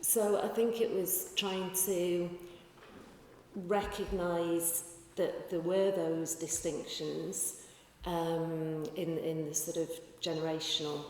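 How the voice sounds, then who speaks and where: Woman panelist, mellow and a little low, answers thoughtfully, miked from a distance in a reverberant hall. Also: a little hiss or hum. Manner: thoughtful, with fillers and restarts. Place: large conference hall. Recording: echoey hall from far off.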